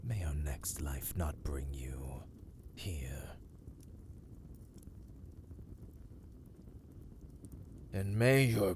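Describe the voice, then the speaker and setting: An ancient, predatory vampire lord for an ASMR fantasy roleplay. His voice sits low and moves very slowly, with formal, theatrical phrasing that often sinks into whispers. He is imperious yet coldly courteous. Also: a little mellow, slight background noise. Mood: menacing. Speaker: voice actor in audio roleplay.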